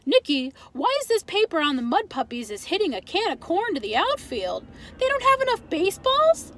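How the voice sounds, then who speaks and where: Woman playing an emphatic, high-pitched storybook immigrant dad. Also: very animated, normal speed, fairly bright tone, exaggerated voice. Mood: baffled. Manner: emphatic, reads aloud with quirky phrasing. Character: Pop, immigrant father, earnest, enthusiastic newspaper reader.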